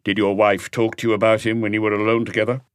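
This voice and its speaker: A man voicing a calm police inspector in an audiobook, questioning in a measured, quietly firm and probing way. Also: fairly low pitch, smooth, no room sound, clean studio sound, close to an everyday voice.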